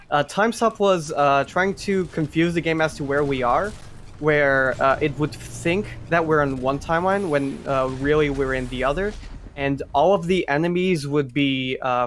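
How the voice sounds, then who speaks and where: A man with a voice a little higher than most provides live speedrun commentary. He talks casually and explains as he goes, often pausing and restarting mid-thought. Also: a bit bright, a little hiss or hum.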